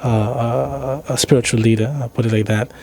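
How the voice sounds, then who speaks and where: A man interviewing a guest in a casual conversational way, feeling out his phrasing with hesitant pauses. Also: mid-range voice, steady tone, vocal fry, dark tone. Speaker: interviewer, host.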